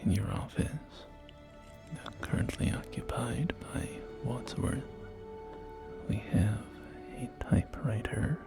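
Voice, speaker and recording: A man playing a polite, salesy post-apocalyptic real estate agent in a whispered ASMR roleplay, with hesitant, soft-spoken patter. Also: medium pitch, takes it slowly, vocal fry. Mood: proud, inviting. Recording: close whisper under loud music. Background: loud music.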